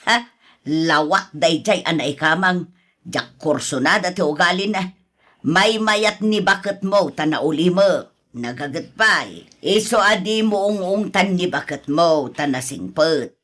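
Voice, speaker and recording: An actress playing a frank relative who reasons and reproves in an Ilocano radio drama, with an Ilocano accent. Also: female voice, on the low side, lively intonation, a little slow, a bit bright. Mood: persuading.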